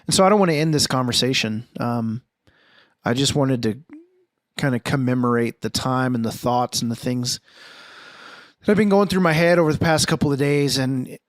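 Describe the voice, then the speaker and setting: A man's voice: medium pitch, moderate pace, no room sound, studio quality. A forty-year-old man hosting a podcast alone, reflecting earnestly and thinking aloud, with frequent restarts and questions to himself. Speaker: podcast host. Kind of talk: reflective solo monologue.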